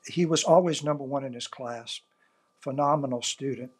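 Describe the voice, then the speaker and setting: An older man from South Louisiana with a Cajun accent, a native Cajun French speaker, answering interview questions in an earnest, measured storytelling way. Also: mid-range voice, husky. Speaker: author and interview guest.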